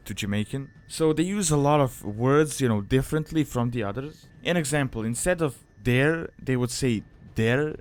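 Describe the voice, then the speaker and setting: A man's voice: mid-range voice, clear, resonant tone. Casting: male tutorial narrator, mid-range voice, informal and conversational, explaining things casually. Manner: informal, conversational, hedging phrases. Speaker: video guide presenter.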